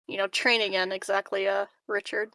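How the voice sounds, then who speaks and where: A woman's voice: mid-range voice, flat delivery, a little fast, sharp tone, dry recording. A woman in casual tabletop roleplay, giggly and a little hesitant as she talks. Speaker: tabletop roleplaying game player.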